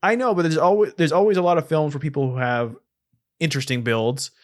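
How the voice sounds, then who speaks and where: A man's voice: a little high, fast, darkish tone. A man co-hosting a podcast in casual, comedic film-review chat, explaining things with repetition and the odd swear word. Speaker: podcast co-host.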